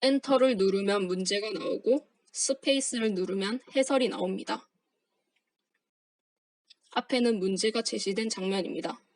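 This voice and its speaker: A woman's voice: medium pitch, normal speed, no room sound. Young woman reading a formal project presentation. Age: young adult. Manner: formal, steady, reading from a script. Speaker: university student presenter. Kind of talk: read-aloud project presentation.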